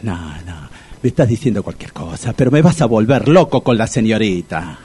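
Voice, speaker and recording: An actor playing an anxious, argumentative man in his sixties in a comic radio drama, with a husky Rioplatense Argentine accent and a pedantic, repetitive manner. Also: lowish voice, big pitch swings, moderate pace, dark tone, exaggerated voice. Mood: exasperated. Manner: exasperated, pedantic, repeats himself. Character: Tito, anxious, argumentative sixty-year-old man, lovesick amateur psychologist.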